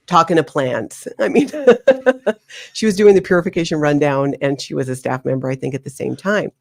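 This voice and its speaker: Lively woman sharing her life story. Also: low-pitched. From Hawaii. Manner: animated, laughs often, slips into acted quotes. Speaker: interview guest. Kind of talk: candid storytelling interview.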